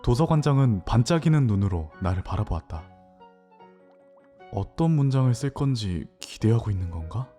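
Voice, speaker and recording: A man acting in an audio drama as a hesitant, introspective newcomer, narrating in a soft, reflective way. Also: lowish voice, lively intonation, moderate pace, faint music behind, lightly acted.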